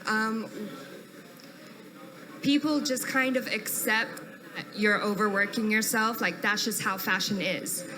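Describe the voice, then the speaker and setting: A woman's voice: mid-range voice, fairly even intonation, takes it slowly, long pauses, noisy background. A woman in the audience at a live panel, earnestly sharing an observation from her fashion work, rambling a little and laughing between points. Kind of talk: audience comment at a live event.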